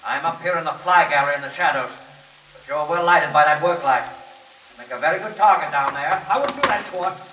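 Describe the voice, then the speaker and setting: A woman playing a cold, murderous theater owner in a 1940s radio drama, speaking quietly and sinisterly. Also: low-pitched, fairly quick. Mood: taunting menace. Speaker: radio actor. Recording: echoey, distant, hissy old radio recording.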